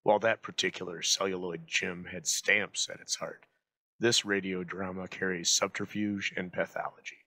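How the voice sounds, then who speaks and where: A man hosting and reading a scripted introduction in a measured, deliberate way. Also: sits low, little pitch movement, bright, forceful tone. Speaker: channel host.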